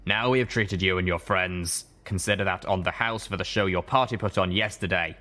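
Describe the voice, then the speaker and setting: A man voicing a rumbling, sternly hospitable undead ghoul stallion in a story reading, measured and warning. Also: bright, forceful tone. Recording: echoey room, audible background noise.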